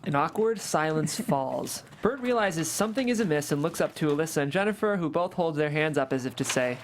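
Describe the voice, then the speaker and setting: A reader calmly reading stage directions at a script table read. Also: medium pitch, brisk, slight background noise.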